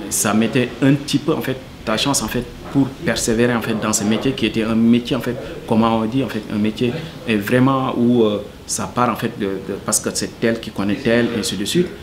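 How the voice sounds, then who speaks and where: A man from Senegal, answering interview questions earnestly and hesitantly, full of fillers. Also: on the low side. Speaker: cook, interviewee.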